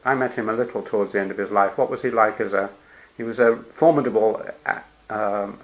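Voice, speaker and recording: A man interviewing a guest, asking questions gently and filling pauses with murmured acknowledgements. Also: fairly low pitch, highs cut off. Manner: gentle prompting with murmured "hmm" and soft laughs.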